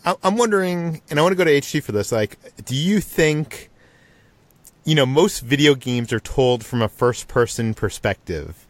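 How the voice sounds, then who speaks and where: A man hosting a casual film discussion, putting roundabout questions in a halting way. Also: mid-range voice, expressive, no echo. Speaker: podcast host.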